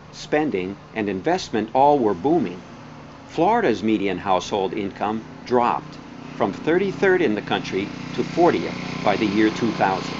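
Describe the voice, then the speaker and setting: Male narrator, lively intonation, scripted documentary read, deliberate emphasis, dull recording, guitar behind. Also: fairly low pitch, background noise. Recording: dull, band-limited sound with music behind.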